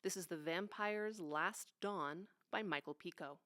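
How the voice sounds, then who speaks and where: Woman, solemn poetry recital, dramatic and deliberate, unhurried, dry close sound. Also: on the low side, a bit bright, dry recording. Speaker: poetry reader.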